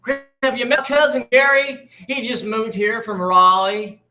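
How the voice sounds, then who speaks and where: A man playing an excitable, star-struck small-town fellow in a comedy table read, folksy and gushing. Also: very high-pitched, measured pace, music in the background, low-quality recording. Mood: friendly, teasing.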